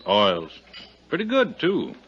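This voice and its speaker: An actor with an American accent playing a terse, sardonic police inspector in a 1950s radio drama. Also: male voice, on the high side, wildly swooping pitch, takes it slowly, a little hiss or hum, heavily acted. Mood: musing, skeptical. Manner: blunt, terse, forceful. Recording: muffled vintage radio recording.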